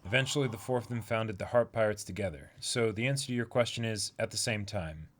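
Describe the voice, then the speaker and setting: A man with a slightly low voice co-hosts a reading stream. He moves between reading text aloud and casual commentary, measured and explanatory throughout. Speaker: co-host of a dramatic manga reading stream.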